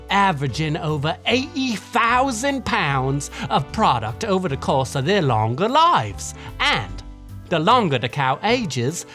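A woman reading a playful scripted commercial as an announcer. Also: on the low side. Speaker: advertisement announcer.

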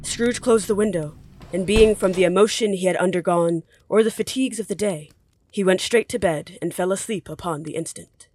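A woman's voice: fairly low pitch, moderate pace. A woman delivering scripted, dramatic story narration for an audio play. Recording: loud music and effects underneath.

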